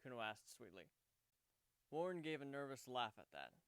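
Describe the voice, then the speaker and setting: A man's voice: medium pitch, big pitch swings, normal speed, fairly bright tone, clean tone, no echo. A man narrating a scripted story as a dramatic reading.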